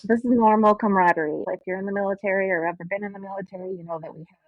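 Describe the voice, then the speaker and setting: A woman's voice: medium pitch, steady tone, no room sound. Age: 40s. Sex: woman. Origin: upstate New York. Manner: frank, cussing co-host. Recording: dull, dry. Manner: frank, cusses, quick. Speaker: podcast co-host. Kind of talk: casual chat.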